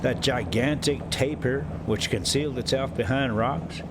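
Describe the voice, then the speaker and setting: A man reading a storybook aloud with folksy, self-mocking asides, halting over the hard words. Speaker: story reader and host. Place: inside a moving diesel motorhome on a city drive. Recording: clear vehicle rumble behind voice. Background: motorhome engine and road noise.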